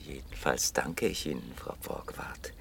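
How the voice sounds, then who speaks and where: An actor playing a young, wealthy, irritable bachelor in a 1960s radio play, with clipped formal speech and a coolly sinister civility. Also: male voice, a bass voice, measured pace, gravelly, whispered, heavily acted. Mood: subdued, wary. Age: young adult. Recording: hissy 1960 radio play recording.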